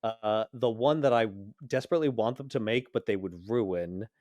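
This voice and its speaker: A man's voice: lowish voice, moderate pace, darkish tone, no room sound. A man in casual, opinionated geek conversation on a podcast, talking bluntly. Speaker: podcast panelist.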